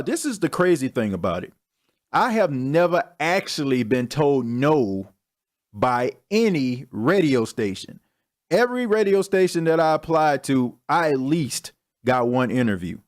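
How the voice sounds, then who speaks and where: A young man hosting his own podcast, talking in a candid, slangy, self-mocking way as a casual solo monologue. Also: medium pitch. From Texas. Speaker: podcast host.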